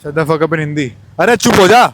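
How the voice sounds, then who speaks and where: A young man hosting a casual street vlog, teasing and animated. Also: on the high side, very fast, a little hiss or hum. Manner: teasing, animated, mixes Hindi and English. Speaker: vlogger host.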